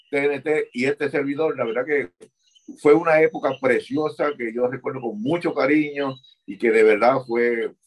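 An older man speaks with a husky, slightly breathy voice at an ordinary middle pitch. He answers earnestly and often repeats a word while he searches his memory. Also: poor audio. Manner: earnest, repeats words as he recalls. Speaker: interviewee, former wrestling manager. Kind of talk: reminiscing interview answers.

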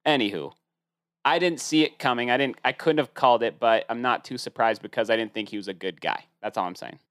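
A man's voice: mid-range voice, a little fast, a bit bright, no room sound, studio quality. A man co-hosting a casual podcast, rambling through his opinions with many restarts. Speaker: podcast co-host. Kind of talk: casual chat.

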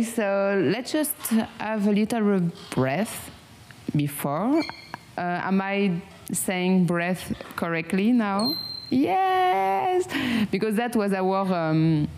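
A woman with a French accent, hosting a relaxed meditation chat and often pausing to search for words. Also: mid-range voice, slight background noise. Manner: halting, searching for English words, frequent fillers. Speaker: meditation channel host. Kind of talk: casual guided meditation chat.

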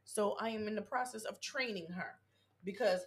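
A woman co-hosting a casual chat podcast, blunt and animated, acting out scenes with plenty of cursing. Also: medium pitch, normal speed, breathy edge. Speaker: podcast co-host; work supervisor.

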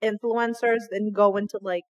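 A woman's voice: mid-range voice, little pitch movement, moderate pace. A young woman co-hosting a podcast in casual chat, enthusiastic and saying "like" constantly. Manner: chatty, says "like" constantly, enthusiastic. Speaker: podcast co-host.

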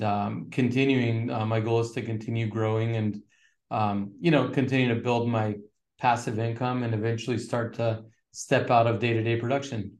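A middle-aged man from Northern California, a real estate agent chatting casually as an interview guest with relaxed fillers. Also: mid-range voice, reverberant. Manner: relaxed, fillers, flat steady delivery.

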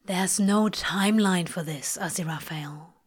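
A woman voicing a laid-back, plain-spoken man in a storybook, gently reassuring and a little hesitant. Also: takes it slowly. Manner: low-key, matter-of-fact, hesitant.

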